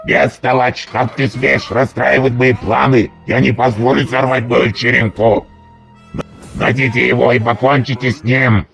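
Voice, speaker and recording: A short-tempered robot villain from a video game, acted by a man in a fan dub, scolds everyone like an imperious boss. The voice crackles with gravel at the edges. Music plays underneath. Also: medium pitch, flat delivery, moderate pace, fairly bright tone. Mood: angry, threatening.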